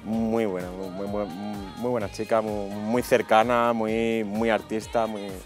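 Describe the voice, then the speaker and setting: A man's voice: on the low side, flat delivery, measured pace, faint music behind. A man, chatting as a guest in a TV studio, repeating words fondly as he talks. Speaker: guest singer. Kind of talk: casual interview talk. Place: TV studio set.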